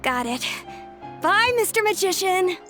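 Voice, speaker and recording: A woman's voice: very high-pitched, lively intonation, unhurried, sharp tone, faint music behind, heavily acted. A woman voicing a small, timid boy in a video game, awed and stammering. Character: small boy, shy and amazed.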